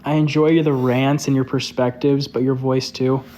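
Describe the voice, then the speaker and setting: A man's voice: medium pitch, level tone, moderate pace, mellow, low-quality recording. A young man chatting casually on a live stream, loose and candid, filling his talk with "like". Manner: loose and candid, heavy use of "like". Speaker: social media creator hosting a live stream.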